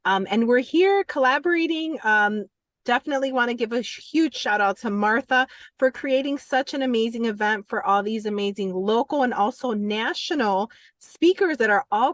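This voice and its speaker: A woman's voice: fairly high pitch, expressive, moderate pace, no room sound. Effusive woman co-host, dry close sound. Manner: effusive, warm praise. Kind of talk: podcast interview.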